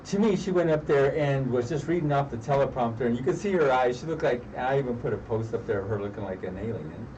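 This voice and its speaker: A man's voice: mid-range voice, lively intonation, quick pace, hardly any pauses, darkish tone. A man in casual political chat, laughing often and chiming in. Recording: distant, dull mic with faint noise.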